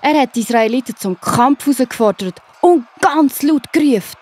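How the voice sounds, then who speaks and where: A woman's voice: sits high, animated, normal speed, a little mellow, husky. A woman with a Swiss German accent narrating a scripted children's story expressively.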